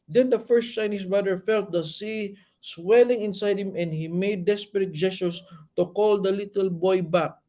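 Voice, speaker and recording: A Filipino man reads a children's storybook in a high, soft-toned voice. He keeps stepping out of the text to explain it simply and informally, repeating bits as if for young listeners. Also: fairly even intonation, normal speed. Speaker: bedtime storyteller.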